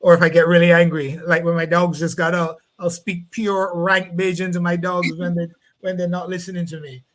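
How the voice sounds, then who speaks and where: Host: Barbadian man, slightly high voice, rambling video-call interviewer. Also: flat delivery, a little fast. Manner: rambling, repeats words while finding phrasing. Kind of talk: live online interview.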